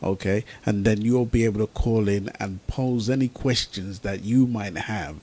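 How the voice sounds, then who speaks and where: A man's voice: lowish voice, moderate pace, darkish tone, breathy edge. A man presenting an informal radio talk show as its host, speaking to listeners.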